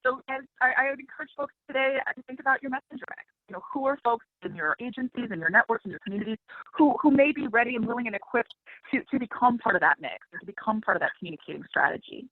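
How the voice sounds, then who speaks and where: A woman's voice: on the high side, lively intonation, quick pace, no room sound. A woman giving a webinar presentation, hesitant with many fillers and restarts, speaking over a video call. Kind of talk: casual presentation. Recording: tinny phone-like line, dry.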